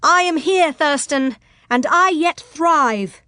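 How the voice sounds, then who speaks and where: A woman's voice: high-pitched, animated, slow pace, bright, forceful tone, dry recording, pitched above the performer's own voice, full character voice. Woman acting out passionate young medieval lady, proud, loyal, ringing lifted voice, romance audiobook heroine, dry sound. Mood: indignant. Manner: ringing, impassioned, declamatory.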